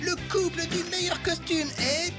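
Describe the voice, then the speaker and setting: An excited young cartoon announcer, proclaims in drawn-out calls. The voice is very high, over loud music. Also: female voice. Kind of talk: French animated cartoon dialogue.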